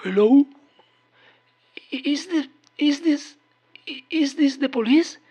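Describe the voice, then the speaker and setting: A woman's voice: high voice, slow, mellow, pitched above the performer's own voice. A woman voicing a terrified male night security guard, panicked and stammering, in a horror story.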